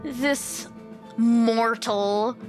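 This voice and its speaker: A woman's voice: on the high side, drawn-out words, a little mellow, soft background music. A woman chatting and improvising casually as a guest player in a tabletop game.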